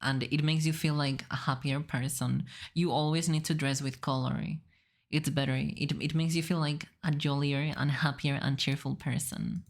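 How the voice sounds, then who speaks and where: A woman's voice: deep voice, fairly even intonation, normal speed. A woman from Spain with a Spanish accent, speaking casually and playfully as a streamer reacting to a video, giggly and free with swearing. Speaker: VTuber streamer.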